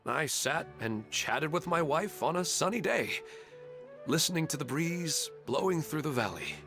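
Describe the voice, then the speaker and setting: A gentle widower from a video game who longs to see his late wife. He speaks softly and sincerely in a slightly raised voice. Faint game music plays behind him. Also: fairly high pitch, expressive, a little slow, creaky. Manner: soft-spoken and sincere. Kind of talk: game voice acting. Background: faint background music.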